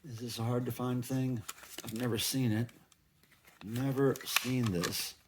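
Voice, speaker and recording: A man's voice: medium pitch, little pitch movement, a little slow, noisy background. A middle-aged man chatting casually as a vlog host, musing aloud in a rambling way with folksy, playful slang. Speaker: video channel host. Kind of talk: casual haul vlog chat.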